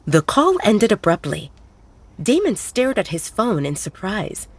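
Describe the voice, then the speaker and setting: A woman's voice: mid-range voice, big pitch swings, a little slow, breathy edge. A woman narrating a scripted romance audiobook in a dramatic storytelling style. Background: faint background music.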